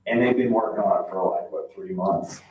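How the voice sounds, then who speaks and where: Man, low-pitched, halting informal meeting presenter, heard from a distance in a roomy space. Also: animated. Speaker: commission member presenting at a public meeting. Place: indoor public meeting room. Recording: roomy, distant, dull, noisy meeting-room pickup.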